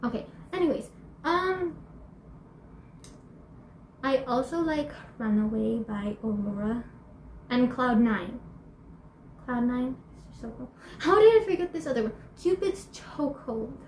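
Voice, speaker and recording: A teenage girl chatting in a bubbly way in a casual home vlog, laughing often and filling pauses with fillers. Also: on the high side, animated, a little mellow, a little hiss or hum. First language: Spanish and English. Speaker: YouTuber. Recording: echoey room, faint ambience.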